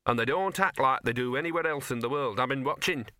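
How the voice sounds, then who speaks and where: A man's voice: mid-range voice, quick pace, pushed and bright, no echo, clean studio sound, pitched above the performer's own voice, close to an everyday voice. A man voicing a scruffy talking dog, a knowing, chummy know-it-all with colloquial speech, in a storybook reading. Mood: conspiratorial.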